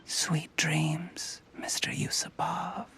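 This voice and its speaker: An actor playing a scheming, self-justifying usurper in a video game, with polite, formal and calculating speech. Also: male voice, fairly high pitch, flat delivery, slow pace, vocal fry, in a whisper. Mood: hushed menace. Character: scheming male usurper, smooth and self-justifying, quietly menacing. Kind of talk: dramatic video game voice acting.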